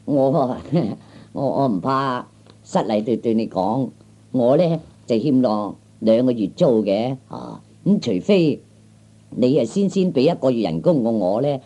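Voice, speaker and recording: A man's voice: medium pitch, animated, measured pace, mellow. A man acting a glib, wheedling young man who is broke, with stammers and chuckles, in a Cantonese radio comedy from the 1960s. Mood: hesitant, obsequious.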